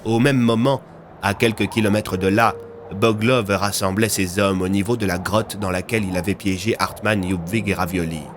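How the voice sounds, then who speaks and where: A man's voice: lowish voice, steady tone, normal speed, noisy background. A man narrating a scripted audio story in a dramatic style.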